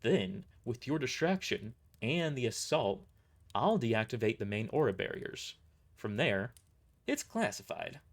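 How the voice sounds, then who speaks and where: A man's voice: mid-range voice, very animated, unhurried. Man playing a cocky, taunting young woman mercenary. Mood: businesslike. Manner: taunting, smug, blunt.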